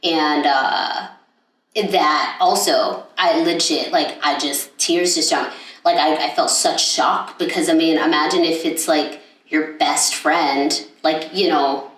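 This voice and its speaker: A woman's voice: low-pitched, steady tone, takes it slowly, a bit bright. A young woman co-hosting a podcast, chatting casually and rambling with lots of fillers and restarts. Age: young adult. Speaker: podcast co-host. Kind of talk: casual chat. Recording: echoey room, mic at a distance.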